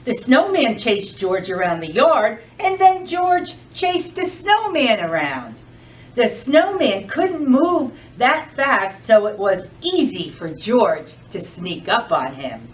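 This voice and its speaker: A woman's voice: on the high side, big pitch swings, slow pace, recorded from a distance, slight background noise, poor audio. A woman reading a children's storybook aloud as a storytime reader, expressively and addressing the young listeners directly.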